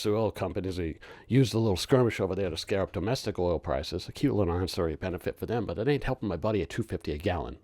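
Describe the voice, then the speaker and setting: A cocky young working-class man played by a male actor with a low voice, reeling off a sardonic rant. He is quick-witted, defiant and colloquial, as in a film drama monologue. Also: a little mellow.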